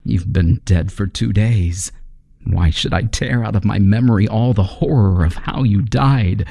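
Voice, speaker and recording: An actor playing a terrified, guilt-ridden man sliding into madness in an old-time radio horror drama, his monologue anguished, halting and melodramatic. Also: lowish voice, vocal fry, mellow, slightly rough, heavily acted. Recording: heavy noise under the voice.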